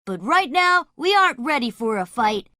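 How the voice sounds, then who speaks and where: A high, bright boy's voice, recorded close and dry. He is a confident young adventurer in a children's cartoon story, dramatic and used to giving orders. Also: sing-song, moderate pace, dry recording, full character voice. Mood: commanding. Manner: commanding, dramatic. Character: bold, confident boy adventurer, leader of children. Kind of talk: animated children's story dialogue.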